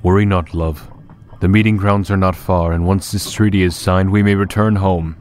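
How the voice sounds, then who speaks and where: An actor playing a devoted young prince in a fairytale audio play, speaking in a formal way. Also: male voice, low-pitched, steady tone, moderate pace, a little mellow, a little hiss or hum, lightly acted. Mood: calm, reassuring. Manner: formal, reassuring. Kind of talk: fairytale audio drama acting.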